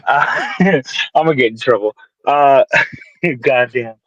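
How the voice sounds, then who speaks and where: A man's voice: mid-range voice, takes it slowly, no echo, lo-fi sound. A man in his twenties from the Detroit area, chatting about sports as a podcast guest in a rambling, joking, profane way. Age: 20s. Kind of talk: casual sports chat.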